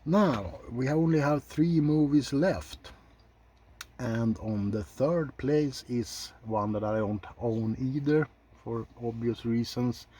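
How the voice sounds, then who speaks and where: A man giving unscripted ASMR film commentary in a soft, low-key, halting way, blunt and opinionated with casual swearing. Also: a little hiss or hum. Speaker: video host reviewing films.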